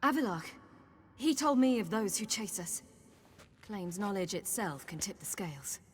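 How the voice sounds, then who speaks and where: A woman's voice: mid-range voice, animated, normal speed, long reverb tail, slight background noise, natural voice. A woman voicing a young, restless swordswoman in a video game, speaking earnestly and urgently. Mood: urgent, resolute. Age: young adult. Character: determined, restless young swordswoman and fledgling mage. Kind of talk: video game cutscene acting.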